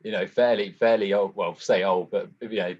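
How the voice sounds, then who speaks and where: Husky, low male interviewer. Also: fairly even intonation, moderate pace. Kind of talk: casual interview chat. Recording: slightly muffled, dull sound.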